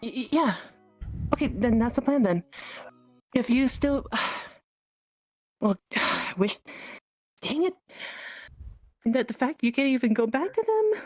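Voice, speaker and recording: Caring dragoness, played by a woman, flustered and halting, repeats herself and sighs; online fantasy roleplay over music. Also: on the high side, expressive, dry recording. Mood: earnest, flustered. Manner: halting, repetitive, sighing. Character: caring, flustered female dragon offering counsel.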